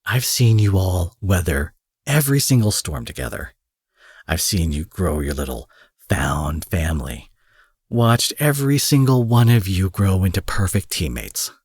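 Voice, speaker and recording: A man acting out a cocky, foul-mouthed young supervillain in an audio roleplay, husky, mocking and possessive, taunting his captive with drawled pet names. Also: low voice, very animated, a little slow, no room sound, studio quality, heavily acted. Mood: mocking, insistent. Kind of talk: dramatic roleplay monologue addressed to the listener.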